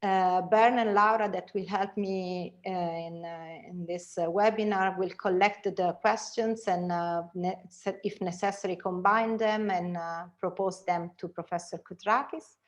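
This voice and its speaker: Woman moderating a webinar, halting. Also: lowish voice, fairly even intonation. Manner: halting, frequent fillers and restarts. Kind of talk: event announcements. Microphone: video call.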